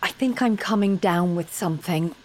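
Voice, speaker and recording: A woman's voice: lowish voice, moderate pace, darkish tone, close to an everyday voice. An actress playing an anxious, defensive woman with a blunt, sarcastic, frantic manner in an audio drama. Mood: panicky. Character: anxious, defensive, sweary woman dreading a party.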